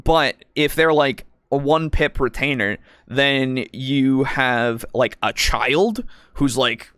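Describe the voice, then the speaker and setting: A man's voice: medium pitch, takes it slowly, a bit bright, clean tone, no echo. Rambling man in a casual, close dry chat. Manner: rambling, hesitant, searching for words; casual swearing. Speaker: podcast co-host. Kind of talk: casual tabletop roleplaying discussion.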